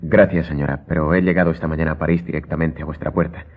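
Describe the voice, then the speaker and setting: A man's voice: very deep voice, level tone, fast. A man playing a grave, courteous, mysterious count in a radio drama, speaking formally and solemnly. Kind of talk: Spanish radio drama acting. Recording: muffled, phone-like lo-fi audio.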